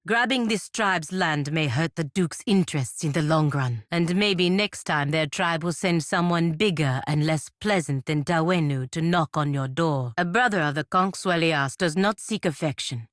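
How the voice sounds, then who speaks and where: An actress voicing a proud, stern warrior knight in a video game, speaking in a formal, measured, admonishing way. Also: female voice, sits low, no echo, clean studio sound. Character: proud, stern warrior knight sworn to her republic, woman. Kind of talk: video game character dialogue.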